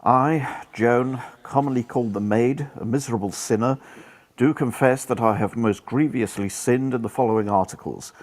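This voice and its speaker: A man's voice: mid-range voice, normal speed, darkish tone, natural voice. A man playing a devout, earnest medieval friar in a radio play, speaking formally and solemnly. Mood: solemn reading. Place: actor's home, live remote recording.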